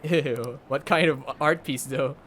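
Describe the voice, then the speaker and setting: Higher-voiced young man streaming a game. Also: slow pace, a bit bright, slight background noise. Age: young adult. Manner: rambling, chatty, repeats himself. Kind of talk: casual live game commentary. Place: indoor streaming setup. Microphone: close desk microphone, headset on. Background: game music.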